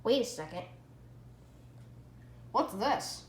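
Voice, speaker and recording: A female-sounding vlogger giving a casual product review in an excitable way, repeating phrases for emphasis. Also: medium pitch, takes it slowly. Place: indoor room at home. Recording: echoey room, background hiss.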